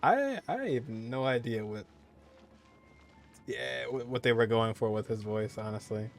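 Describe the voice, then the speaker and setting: Male gaming streamer, mid-range voice, off-the-cuff commentary, soft music underneath. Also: medium pitch, expressive, unhurried, creaky. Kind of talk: casual let's-play commentary. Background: faint game music.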